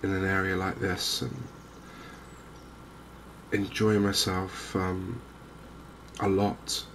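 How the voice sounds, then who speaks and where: A softly spoken man giving hesitant, unhurried ASMR-style commentary over a video game. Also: sits low, little pitch movement. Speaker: gaming video host. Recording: clear game ambience noise behind voice. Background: outdoor wildlife ambience from a fishing game.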